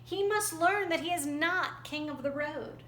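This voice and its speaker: A patient desert spirit woman played by a woman storyteller, encouraging and calm in a storybook tale. The words come slowly, in a slightly echoey room. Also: extremely high pitch, pitched above the performer's own voice. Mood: reassuring. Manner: patient, reassuring. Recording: roomy echo, faint background noise.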